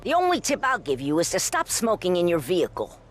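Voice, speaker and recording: A woman's voice: fairly low pitch, huge pitch swings, fairly quick, soft background music. A woman playing a prim, disdainful lady passenger in a game cutscene, with a clipped, scolding manner.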